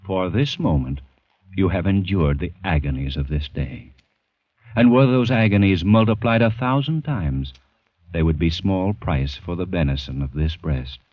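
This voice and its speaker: An actor playing an intense storyteller, narrating an old-time radio drama theatrically in an American accent. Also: male voice, low voice, dry recording. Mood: hushed, tender. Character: intense, ominous second-person storyteller. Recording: muffled vintage radio recording. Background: dramatic orchestral score.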